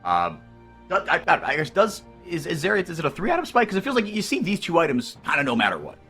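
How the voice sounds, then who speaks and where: A man calling esports play-by-play, excitable and rising sharply when fights break out. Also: a little high, fast, soft background music.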